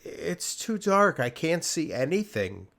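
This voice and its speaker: Storybook hero: man as fearless, cheeky male trickster, teasing, very animated intonation. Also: a little high, slow pace, vocal fry, echoey room, in a large hall, full character voice. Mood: dismissive. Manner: dismissive, teasing, swooping intonation. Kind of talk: dramatic storybook reading.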